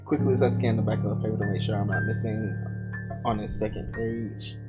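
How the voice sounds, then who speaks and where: A man reading a horror visual novel's text aloud, breaking off to swear and react loudly between lines. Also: fairly low pitch, fairly even intonation, brisk. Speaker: gamer playing a visual novel. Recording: loud game music behind.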